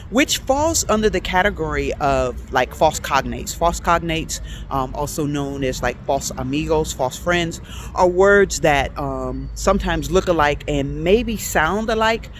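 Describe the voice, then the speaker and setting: A woman's voice: low-pitched, a little hiss or hum. A woman whose first language is English, giving a casual vlog lesson as a language teacher, chatty and hesitant with many fillers, switching between languages.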